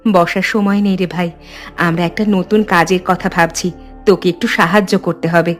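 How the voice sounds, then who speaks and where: A woman's voice: lowish voice, fairly even intonation, brisk, soft background music, natural voice. A woman voicing a friendly, enterprising village housewife, coaxing and familiar, in cartoon dialogue. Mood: businesslike. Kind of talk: animated story dialogue.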